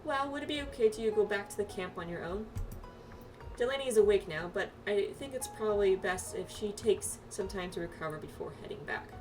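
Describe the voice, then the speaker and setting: A woman voicing a worried but steady companion from a fantasy game, earnest and gently admonishing. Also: mid-range voice, a little fast, lightly acted. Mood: caring, firm. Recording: distant mic, music behind.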